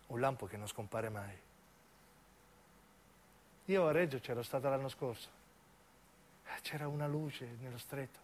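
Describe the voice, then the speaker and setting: A man's voice: mid-range voice, slight background noise. A man narrating a dramatic scripted monologue for radio theatre, with emphatic, theatrical phrasing. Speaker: narrating stage actor.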